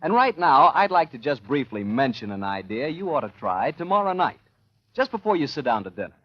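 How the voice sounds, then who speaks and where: A man working as a 1940s radio announcer, delivering a folksy, emphatic sponsor pitch and scripted chat as host. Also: sing-song, a little fast. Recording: muffled vintage radio recording.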